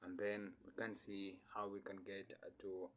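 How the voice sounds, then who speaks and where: A man's voice: deep voice, little pitch movement, takes it slowly, pushed and bright. A man with a flu talking through trading charts in a casual, hesitant way, with many fillers and restarts. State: has a flu. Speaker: trading analyst. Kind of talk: casual screen-share chart analysis.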